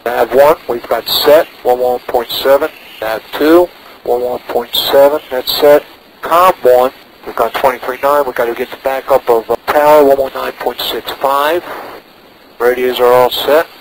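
A man pilots a small plane and speaks over a headset in short procedural phrases. His pitch is middling. He switches between radio calls and briefing himself, with a faint engine drone on the intercom. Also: fairly even intonation, unhurried, slight background noise, poor audio. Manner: talks himself through checks in short phrases. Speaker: private pilot. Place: inside a small propeller plane in flight. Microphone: aviation headset.

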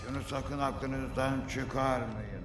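A man voicing a sly, spiteful gossiping salt-shaker monster in a cartoon dub, with a sneering, drawn-out delivery. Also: mid-range voice, fairly even intonation, loud music behind the voice, natural voice. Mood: taunting. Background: cartoon score.

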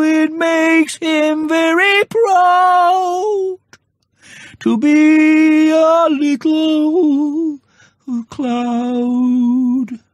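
A narrator voicing an earnest, slow-witted male toy bear in a children's storybook, speaking in a deliberate, drawn-out way. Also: high-pitched, no echo, studio quality, voice pushed up. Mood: dreamy singing. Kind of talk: children's storybook character acting.

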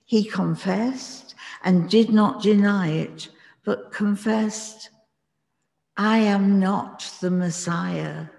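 An older reader slowly reading the gospel aloud in a church hall, measured and giving the dialogue its own voices. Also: medium pitch, vocal fry, a little mellow. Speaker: scripture reader. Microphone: far camera, lectern. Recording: long echo in hall from far camera.

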